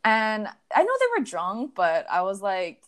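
A woman's voice: medium pitch, huge pitch swings, unhurried. A young adult woman in casual conversation, telling stories reflectively with many fillers. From United States.

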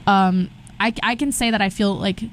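A woman's voice: medium pitch, fairly even intonation, normal speed. Young woman, Brazil-raised, sounds Southern Californian, chatty rambling guest, faint background hiss. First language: Portuguese and Spanish. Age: young adult. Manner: rambling, many restarts and fillers. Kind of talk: casual interview chat.

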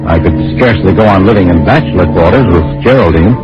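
A man acting a timid, secretive office clerk in vintage radio drama. His voice sits fairly low and he hesitates politely, stumbling over his words. The old recording is muffled, with music behind him. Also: fast talker, close to an everyday voice. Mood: confessional. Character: timid, secretive bachelor office clerk who invents a wife.

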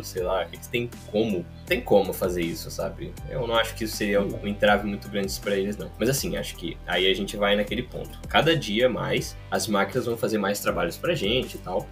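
A Brazilian man with a fairly low voice talks through ideas in a loose, easygoing podcast chat, tossing in fillers and small laughs. Soft music plays faintly underneath. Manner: relaxed, conversational, laughs lightly, many fillers. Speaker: podcast co-host.

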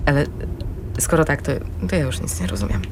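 A woman's voice: low voice, lively intonation, fairly quick, a little hiss or hum. An actress playing an indignant, anxious middle-aged mother who argues defensively in a radio drama. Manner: defensive, incredulous.

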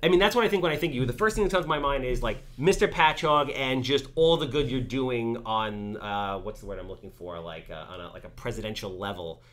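A man's voice: mid-range voice, fast talker, hardly any pauses, fairly bright tone. A man in his thirties hosting a casual podcast, joking and hyping up his guest. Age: 30s. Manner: joking, hyped intro reading. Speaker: podcast host.

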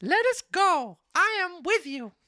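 A woman voicing a naive, excitable wooden marionette boy with an earnest, childlike delivery in a storybook reading. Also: very high-pitched, unhurried, dry recording, clean studio sound, pitched above the performer's own voice, exaggerated voice. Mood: resolved.

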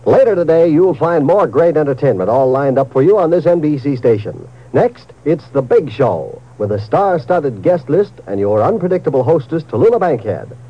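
Casting: polished male promo announcer, lively swooping delivery, old-time radio, muffled recording. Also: fairly high pitch, normal speed, hardly any pauses. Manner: upbeat, polished salesmanship. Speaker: network announcer.

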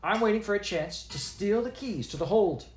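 A man's voice: high-pitched, lively intonation, moderate pace, reverberant, a little hiss or hum, pitched above the performer's own voice. A man voicing a young human girl, an apprentice wizard, who talks hesitantly with ums and uhs. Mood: indignant.

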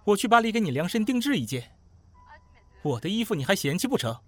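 A man's voice: sits high, big pitch swings, fast. An actor playing a haughty, teasing man in an audio drama, huffy and playful. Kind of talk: Chinese audio drama acting.

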